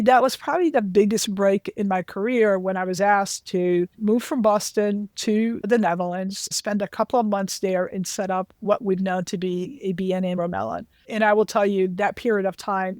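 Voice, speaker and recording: A woman's voice: medium pitch, fairly even intonation, normal speed, hardly any pauses, darkish tone, no room sound, clean studio sound. A woman in her sixties who grew up in Lebanon, telling career stories in an interview with self-deprecating asides. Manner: anecdotal, self-deprecating, with frequent asides to the host. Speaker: interview guest, finance executive. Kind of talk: candid career storytelling.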